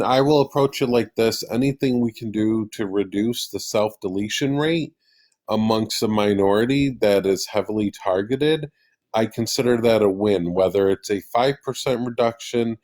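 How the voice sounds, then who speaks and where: A man's voice: fairly low pitch, a little mellow. Combative man hosting a heated livestream. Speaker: livestream host.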